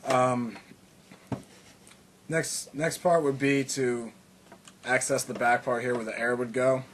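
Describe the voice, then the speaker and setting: Man, mid-pitched, casual how-to presenter, loose and rambly, occasional cough, faint hiss behind. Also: slow. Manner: relaxed, rambling, many fillers and restarts. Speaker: video tutorial maker.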